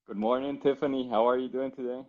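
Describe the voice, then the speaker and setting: A man's voice: medium pitch, fairly even intonation, fast. A man in his thirties with a Costa Rican accent, answering interview questions politely and somewhat formally over a video call. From Costa Rica. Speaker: interview guest, physician. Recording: dull, lo-fi call audio.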